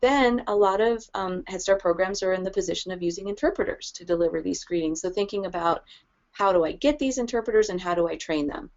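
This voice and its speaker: A woman's voice: mid-range voice, quick pace, a little mellow, lo-fi sound. A woman presenting practical advice in a webinar, friendly and explanatory, with light laughs. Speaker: early childhood language specialist presenting.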